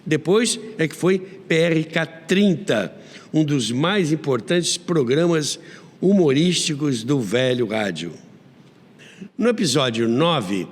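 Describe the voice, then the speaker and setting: A Brazilian man tells history as a program host, his fairly high voice swinging widely in pitch. He narrates conversationally, slipping in wry asides like a theatrical storyteller. A long hall-like reverb trails the voice. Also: expressive, takes it slowly. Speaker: host of a radio history show.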